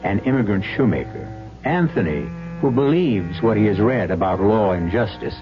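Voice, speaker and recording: Expressive male radio drama host, muffled broadcast. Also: fairly low pitch. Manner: measured, formal. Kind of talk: dramatic scripted narration. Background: music.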